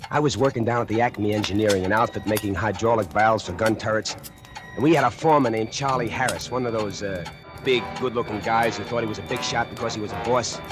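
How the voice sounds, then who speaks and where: A man's voice: on the low side, fast talker, natural voice. An actor playing a lazy, boastful factory worker who whines, brags and holds a grudge, in a 1940s radio drama with tough-guy slang. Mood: sullen. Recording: old radio broadcast with music.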